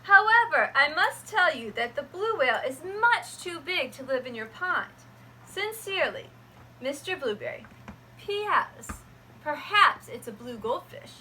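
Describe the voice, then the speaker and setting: A woman teacher reading a picture book aloud to her students, gentle and expressive. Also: high-pitched, measured pace, a little hiss or hum, rough recording.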